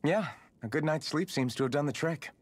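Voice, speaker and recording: An actor playing a calm, level-headed male warrior in a video game, plain and understated. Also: a little high, brisk, slightly rough, no room sound. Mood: refreshed. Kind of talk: video game cutscene acting. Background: music.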